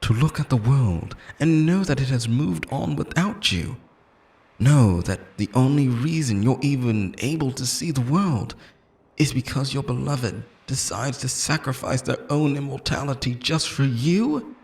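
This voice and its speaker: A man's voice: medium pitch, animated, measured pace, creaky, a little mellow. A man playing an obsessive, lonely immortal lover in an intimate, theatrical roleplay monologue, pleading and possessive, moving between tenderness and menace. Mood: bitter, anguished.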